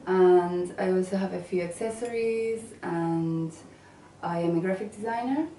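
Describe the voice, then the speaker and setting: Woman guest, low mellow voice, hesitant and chatty, distant mic in a roomy space. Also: a little slow. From England and Venezuela. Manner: hesitant, with many fillers and restarts. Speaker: guest, graphic designer. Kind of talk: casual interview answers. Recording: echoey room, mic at a distance, faint noise.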